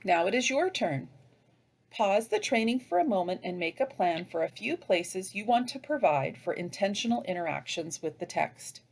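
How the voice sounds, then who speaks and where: Husky, animated woman presenting training. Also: mid-range voice, normal speed. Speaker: teacher trainer. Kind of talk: scripted instructional narration.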